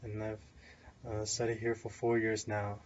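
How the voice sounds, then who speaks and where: Taiwanese male, 19, non-native English, flat delivery, stops and restarts, faint room ambience. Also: lowish voice, moderate pace, dull audio, slight background noise. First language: Chinese. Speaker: interviewee. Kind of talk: casual interview answers.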